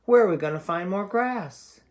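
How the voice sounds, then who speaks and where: A man's voice: high voice, expressive, moderate pace, pitched above the performer's own voice. A man voicing a nervous, fretful middle brother goat in a children's storybook, with anxious, pleading delivery. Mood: worried, anxious.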